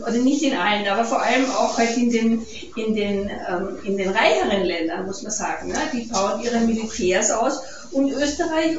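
A woman with an Austrian accent speaks to an event in a conversational, halting way. Her voice sits a bit high. It is picked up from afar in a very echoey space, with some background noise. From Carinthia, Austria. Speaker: guest speaker, peace activist. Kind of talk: informal public lecture. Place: event hall, recorded from a distance. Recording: echoey room, distant muffled mic, noisy.

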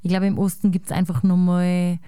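An Austrian woman with a Salzburg accent, a guest chatting casually and thinking aloud, often ending with a quick tag question. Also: lowish voice, level tone, mellow, clean tone, no room sound, clean studio sound. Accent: Salzburg Austrian German. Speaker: guest musician. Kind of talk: casual conversation.

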